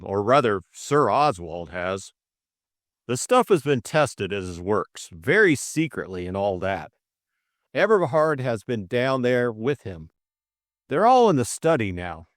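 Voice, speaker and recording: A man's voice: medium pitch, no echo, studio quality. A man voicing an anxious, earnest upper-class young man in an audiobook drama, hesitant and full of old-fashioned exclamations.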